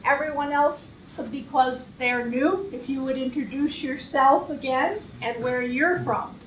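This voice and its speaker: Slow high-voiced woman teacher. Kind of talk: classroom teaching, role-play. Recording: echoey room, distant mic, faint noise.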